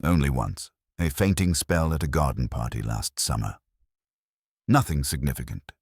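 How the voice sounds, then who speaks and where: A man reading the lines of a composed male doctor, measured and clinical. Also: extremely low pitch, darkish tone, slightly rough, no room sound, studio quality, full character voice.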